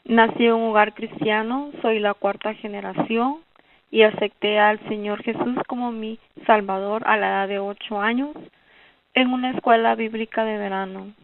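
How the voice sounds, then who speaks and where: A thin, phone-like recording carries a Guatemalan woman in her fifties giving a prepared testimony. Her voice is fairly high with a creaky edge. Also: steady tone, moderate pace. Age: 50s. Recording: narrow phone-like sound, distant mic.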